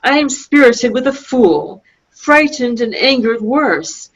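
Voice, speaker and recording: A woman reading a proud, sharp-tongued young princess in an amateur Shakespeare play, in measured formal verse, heard over a dull lo-fi video call. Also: fairly high pitch, expressive. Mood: flustered, angry.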